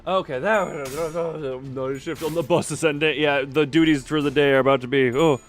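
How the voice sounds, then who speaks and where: A man with a fairly high voice commentates on his game livestream over music. He thinks aloud in a casual, rambling way, often letting sentences trail off unfinished. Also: steady tone, a little fast.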